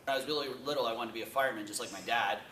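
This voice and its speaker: Brisk man with a bright, tense voice. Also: mid-range voice, slight background noise. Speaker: fraternity member. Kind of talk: interview answers. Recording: roomy, distant mic.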